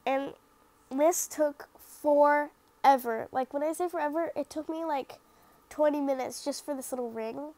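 A woman's voice: sits high, measured pace, dark tone. A young woman narrating her own craft video in a casual voice-over, rambling and self-critical with lots of fillers, sounding a little stuffy from the sniffles. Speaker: craft vlogger.

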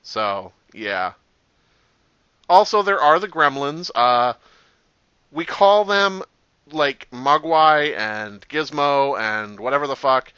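A man playing a nervous, rambling pizzeria employee in a parody horror-game phone message, halting and falsely reassuring, on a cheap telephone-like microphone. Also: mid-range voice, animated, sharp tone, smooth, no room sound. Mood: rambling, matter-of-fact. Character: nervous, rambling phone-message guy briefing a doomed night guard. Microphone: cheap replacement microphone.

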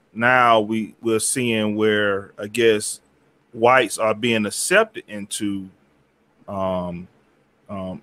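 A man hosting a video call, asking long questions haltingly with many restarts. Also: on the low side, fairly bright tone. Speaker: host. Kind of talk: casual discussion.

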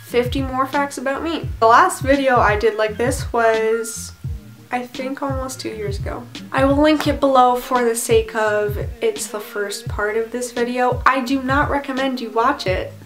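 Teen girl vlogger, high-ish voice, rambling bedroom chat. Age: teens. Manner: chatty, rambling, self-deprecating. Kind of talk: casual chat to camera. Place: bedroom, indoors.

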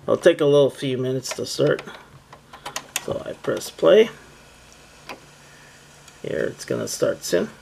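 Slow, gravelly man giving a demo. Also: big pitch swings, slight background noise. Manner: plain, halting, step-by-step narrating. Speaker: seller demonstrating a product. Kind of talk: casual hands-on product demonstration. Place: indoors, close tabletop setup. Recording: music from a boombox behind the voice. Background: loud music from the boombox.

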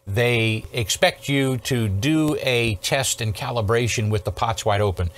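An older man in his sixties hosts a hands-on technical walkthrough. His pitch sits in the middle range for a man. He explains step by step in a casual, methodical way, often pausing to check in. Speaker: host, electronics restorer. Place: indoor workshop studio at a workbench.

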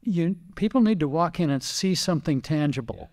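A man's voice: a little high, lively intonation, normal speed, darkish tone. An older American man reminiscing casually in an interview, telling anecdotes and acting out remembered dialogue. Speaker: retired racing driver and team owner, interview guest.

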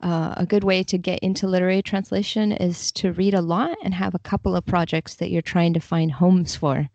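A woman's voice: fairly low pitch, fairly even intonation, a little fast, creaky, a little mellow, no echo. A woman guest talking in a casual podcast interview, chatty and enthusiastic. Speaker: guest, literary translator.

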